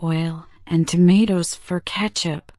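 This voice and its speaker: A woman voicing a gentle, grieving grandmother in a storybook, speaking softly. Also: fairly low pitch, fairly even intonation, slow pace, no echo, clean studio sound, pitched below the performer's own voice. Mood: thoughtful. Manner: soft, gentle speech.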